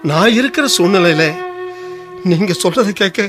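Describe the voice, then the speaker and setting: A humbled, desperate husband in a radio drama. His high-pitched voice moves in wide, emotional swings, earnest and burdened, with music faintly behind. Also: expressive, moderate pace. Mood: emotional, remorseful. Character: desperate, humbled husband who lost job and money. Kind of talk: Tamil radio drama acting.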